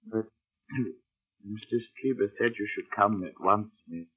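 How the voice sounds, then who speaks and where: An actor playing a deferential household butler, formal, discreet and hesitant, in 1940s radio drama style. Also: male voice, lowish voice, slow pace, breathy edge. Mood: deferential, warning. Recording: muffled vintage radio recording.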